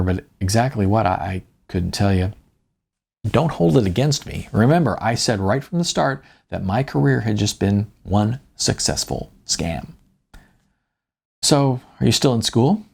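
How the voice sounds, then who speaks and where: A man's voice: on the low side, very animated, moderate pace, creaky, darkish tone, breathy edge. A man reading his own novel as an audiobook in a wry, conversational first-person manner. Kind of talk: first-person audiobook narration with acted dialogue.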